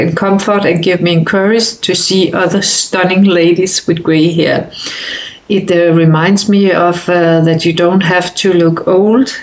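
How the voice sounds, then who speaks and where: A woman's voice: on the low side, low-quality recording. A woman in her fifties from Denmark with a Danish accent, talking casually to camera in her bedroom, hesitant with many fillers and restarts. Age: 50s. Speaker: vlogger. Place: bedroom indoors.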